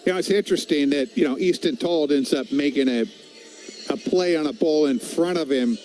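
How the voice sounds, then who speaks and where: An American man giving conversational baseball color commentary on the radio, telling things in a halting, anecdotal way. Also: on the high side, a little mellow, faint music behind.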